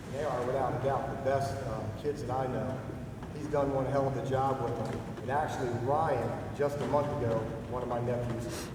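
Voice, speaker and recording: A middle-aged man speaks at a ceremony, heartfelt and halting, a little hoarse as he loses his voice. He is far from the mic in a big echoing hall, with steady room noise behind him. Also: mid-range voice. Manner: heartfelt, halting, with fillers and throat-clearing. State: losing his voice. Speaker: retiring army staff sergeant giving a speech. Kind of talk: emotional retirement speech. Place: ceremony venue. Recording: echoey distant hall recording with noise.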